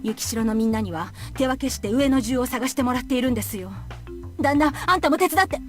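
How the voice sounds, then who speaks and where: A woman's voice: high voice, very fast, faint music behind, close to an everyday voice. A woman playing a grave, agitated madam in a Japanese visual novel, speaking in an urgent, worldly, colloquial way.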